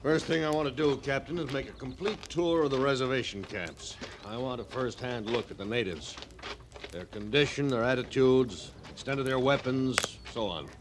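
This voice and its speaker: Actor as a pompous, stern army major. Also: male voice, medium pitch, moderate pace, natural voice. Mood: haughty. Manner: formal, pompous, authoritative. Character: stern, haughty hard-line army major. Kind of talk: 1950s radio western acting. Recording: noisy old radio drama recording.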